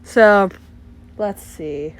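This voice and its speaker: A congested woman telling casual, animated stories about her art mishaps as a vlogger. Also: medium pitch, very slow, smooth. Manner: chatty, animated, acts out her own exclamations. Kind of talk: casual story time.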